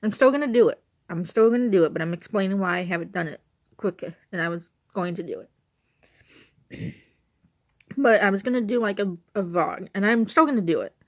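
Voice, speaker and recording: Hoarse rambling young woman, Illinois accent. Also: mid-range voice, fairly quick. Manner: rambling, repeats and restarts herself. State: hoarse, stuffy nose. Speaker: vlogger. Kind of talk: casual chat to camera. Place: at home on the couch. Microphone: handheld camera.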